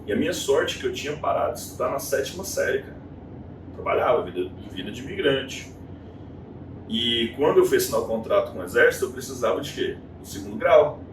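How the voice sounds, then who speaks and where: A Brazilian man in his thirties with a Brazilian Portuguese accent, a guest chatting casually in an interview and telling his story with animated, acted-out quotes. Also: medium pitch, fast talker. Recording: echoey room, distant mic, noisy.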